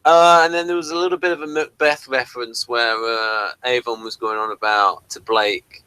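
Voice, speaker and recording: Bright-voiced man in casual review chat. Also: medium pitch, fairly even intonation, moderate pace, clear, resonant tone, poor audio. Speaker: co-host of a review show.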